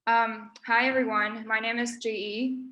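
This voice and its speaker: A woman's voice: fairly high pitch, little pitch movement, moderate pace, bright, forceful tone, soft background music, low-quality recording. A young woman from Taiwan giving an informal slide talk about her art to a university audience, speaking hesitantly with many fillers and restarts. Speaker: guest artist giving a talk. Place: university lecture room.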